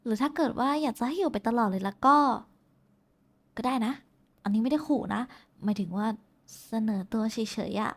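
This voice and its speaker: A woman performs a young woman in an intimate audio roleplay, with a voice pitched a bit high. She is bashful and affectionate, chatting casually to someone she likes. Her teasing comes with small hesitations. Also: moderate pace. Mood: shy, affectionate. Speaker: VTuber voice actress. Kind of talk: intimate roleplay voice pack addressed to the listener.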